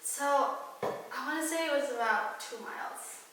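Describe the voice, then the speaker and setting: A young woman from Ethiopia telling her personal story in a relaxed way, with lots of fillers like "um" and "like.". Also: high-pitched, normal speed, slight background noise. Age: young adult. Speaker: interviewee. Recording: echoey room, mic at a distance.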